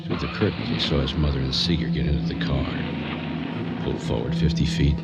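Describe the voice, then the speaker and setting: A man narrating a crime story in a radio drama, in a grave, measured, matter-of-fact way. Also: extremely low pitch, fairly even intonation, creaky. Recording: muffled radio recording with music underneath.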